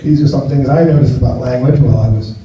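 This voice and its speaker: A man's voice: mid-range voice, fast. An American man in his fifties doing a rambling, self-deprecating stand-up set and chatting with the crowd in a small cafe. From United States. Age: 50s. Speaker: stand-up comedian. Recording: distant, roomy, muffled live cafe recording.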